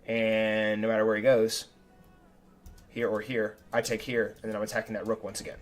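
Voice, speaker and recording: A young man, a chess streamer, talking casually and self-critically through his own game, his voice a little raspy. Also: fairly low pitch, completely flat delivery, normal speed. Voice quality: slightly raspy. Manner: chatty and self-critical, thinking aloud move by move. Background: faint background music.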